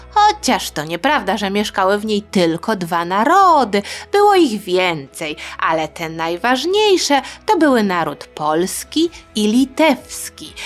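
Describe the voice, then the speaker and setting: A woman hosting a storytelling podcast for young listeners, explaining history in a simple, conversational way. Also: mid-range voice.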